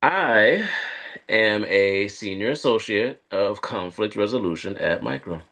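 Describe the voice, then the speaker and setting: An actor plays a guarded man on a date with a fairly low voice. He talks in halting, evasive phrases, like a corporate professional careful about what he reveals, in the conversational style of a screenplay table read. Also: lively intonation, fairly bright tone, heavily acted. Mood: evasive, explanatory. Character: guarded male corporate operative on a first date. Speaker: actor at a live script read.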